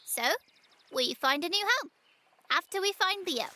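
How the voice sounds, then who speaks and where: A woman's voice: very high-pitched, animated, unhurried, bright, forceful tone, no echo, heavily acted. A woman playing a fearless, optimistic seven-year-old girl in an audio drama, cheeky and matter-of-fact. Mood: upbeat, confident.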